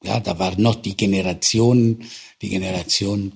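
An older man from Italy with an Italian accent, recalling his past in halting, searching speech with many restarts. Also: low-pitched, fairly even intonation, gravelly, husky. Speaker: interviewee. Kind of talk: personal recollection.

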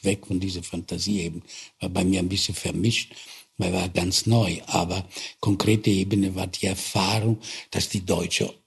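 An older man from Italy with an Italian accent, recalling his past in halting, searching speech with many restarts. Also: low-pitched, little pitch movement, creaky, slightly rough. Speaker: interviewee. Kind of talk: personal recollection.

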